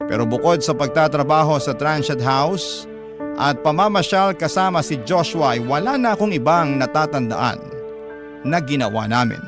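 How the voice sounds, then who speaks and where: A man with a Filipino accent, reading a first-person letter as the narrator of a dramatic radio story show. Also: medium pitch.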